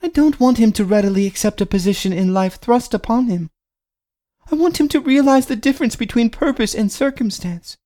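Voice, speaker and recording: A man putting on a fervent young wife's high voice. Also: animated, a little fast, warm tone. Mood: pleading. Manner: earnest, pleading. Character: devoted pregnant young wife, earnest and fervent.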